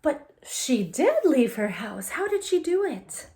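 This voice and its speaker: A woman with a fairly high voice speaks very slowly, as a teacher does with young children. She phrases things with care and emphasis, encouraging her listeners and talking to them directly. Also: very animated, mellow. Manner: encouraging, asks questions and answers them. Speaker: elementary teacher reading a picture book aloud.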